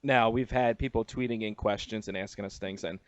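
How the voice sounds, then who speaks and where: A man's voice: on the low side, fairly even intonation, a little fast, clean tone. A man hosting a radio interview in a casual, jokey, slangy and crude way. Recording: muffled roomy lo-fi radio interview audio.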